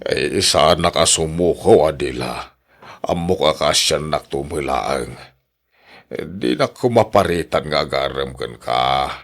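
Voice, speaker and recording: A man's voice: very deep voice, expressive, measured pace, vocal fry, a bit bright, heavily acted. A man playing a lovesick old suitor in a radio drama, stammering and persistently pleading, with an Ilocano accent.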